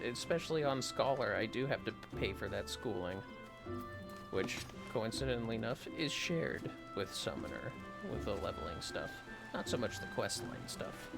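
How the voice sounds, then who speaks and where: Casting: man, casual game stream host, hushed and rambling, fry in the voice, clear music underneath. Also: fairly low pitch. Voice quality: soft, hushed. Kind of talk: casual gameplay commentary.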